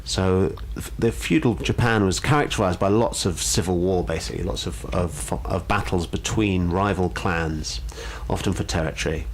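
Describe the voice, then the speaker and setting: A man speaking as a guest storyteller, polite and measured, explaining and reading aloud with some hesitant phrasing. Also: deep voice, slight background noise.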